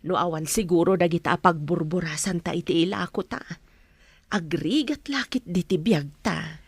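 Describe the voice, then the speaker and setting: A woman's voice: fairly low pitch, normal speed, husky, dry recording. A woman with an Ilocano accent playing a plain-spoken village woman who sells goods, in a Filipino comedy radio drama. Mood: earnest, motherly.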